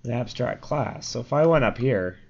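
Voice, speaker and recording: A man's voice: medium pitch, lively intonation, moderate pace, vocal fry. A young man with a sore throat and a slightly crackly voice, casually explaining code in a rambling screen-recorded tutorial with fillers and self-corrections. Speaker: programming tutorial host. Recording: dull lo-fi mic.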